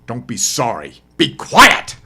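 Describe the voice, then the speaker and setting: Man acting husky, barking, hot-tempered apocalypse survivor. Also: medium pitch, huge pitch swings, slow pace, sharp tone, voice pushed up, full character voice. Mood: menacing, furious. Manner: barking, commanding. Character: hard-bitten, short-tempered male zombie-apocalypse survivor barking orders.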